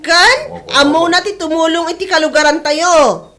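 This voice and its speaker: A woman's voice: very high voice, steady tone, normal speed, sharp tone. A woman acting an eager, pushy campaign supporter in an Ilocano comedy radio drama, speaking with declamatory emphasis. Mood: fervent campaigning.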